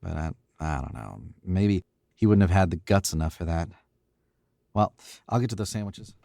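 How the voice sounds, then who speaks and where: A man's voice: low voice, lively intonation, moderate pace, creaky, mellow, dry recording, studio quality. A man acting in an old-time radio drama as a cool, condescending young murderer, self-assured and suave. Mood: smug, musing.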